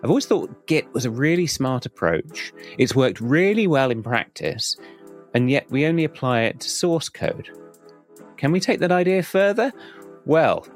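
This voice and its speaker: A man hosting a software podcast, introducing the episode and then interviewing a guest in a casual chat. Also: mid-range voice, sing-song, moderate pace, soft background music. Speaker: podcast host.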